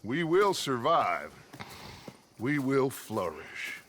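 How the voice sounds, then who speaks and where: An actor playing a charismatic middle-aged American outlaw gang leader in a game, grandiose and commanding, with a rallying delivery. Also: male voice, medium pitch, big pitch swings, drawn-out words, a little hiss or hum, full character voice. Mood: rousing. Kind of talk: video game voice acting. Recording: loud music over the voice.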